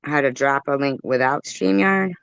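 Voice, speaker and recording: A woman's voice: very deep voice, normal speed, creaky, clear, resonant tone, no echo. A woman hosts a livestream with casual, profane reaction commentary. She is confrontational and direct. The audio is dull and close, with very little room sound. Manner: blunt, combative, profane. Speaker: livestream host.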